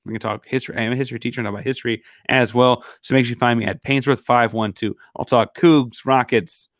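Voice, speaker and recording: A man's voice: mid-range voice, fairly even intonation, fast talker, dry recording, studio quality. A man hosting a solo sports podcast, talking casually and rambling with fillers, his voice a bit worn. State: losing his voice. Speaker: podcast host.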